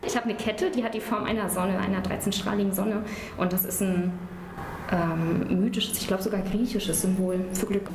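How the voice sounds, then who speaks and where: A woman's voice: medium pitch, fairly even intonation, moderate pace. A woman answering interview questions in a reflective way, with occasional fillers. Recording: echoey and noisy.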